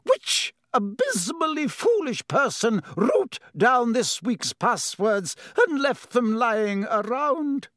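A man's voice: high voice, very animated, takes it slowly, no room sound, studio quality, pitched above the performer's own voice, heavily acted. A man voicing a stern older woman schoolteacher, outraged and formal, with clipped scolding delivery for a storybook. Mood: shaking fury.

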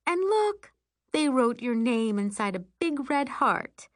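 A woman's voice: sits high, big pitch swings, takes it slowly, no room sound, studio quality, voice pushed up, heavily acted. A woman voicing an eager mother coaxing a small child in an audiobook. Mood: bright, coaxing. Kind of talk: audiobook character voice.

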